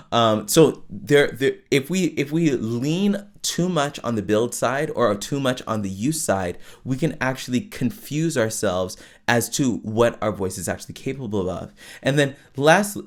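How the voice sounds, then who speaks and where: A man with a lower voice, hosting a podcast and explaining singing to listeners in a loose, chatty way, full of restarts and tag questions. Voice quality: lower voice with falsetto access. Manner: conversational, many fillers and restarts, frequent "right?". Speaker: podcast host and vocal coach. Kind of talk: casual teaching monologue.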